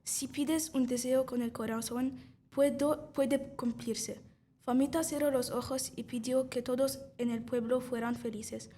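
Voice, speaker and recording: A woman's voice: high-pitched, steady tone, moderate pace, dark tone, a little hiss or hum. A woman reading a short story aloud, carefully and with small restarts.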